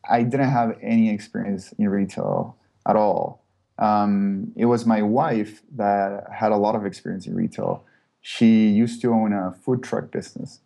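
Low, gravelly man from Chile. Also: dark tone. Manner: hesitant, many fillers and restarts. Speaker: guest, startup co-founder. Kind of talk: casual interview answers.

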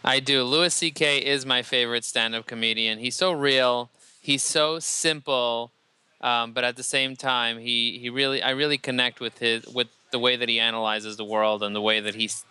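A loud, energetic man talks up his work as a guest. His voice is very bright and crisp. The recording is close and dry, with no room sound. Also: mid-range voice, moderate pace, dry recording. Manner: energetic, motivational, repeats words when excited. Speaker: podcast guest, company CEO. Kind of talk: conversational interview answers.

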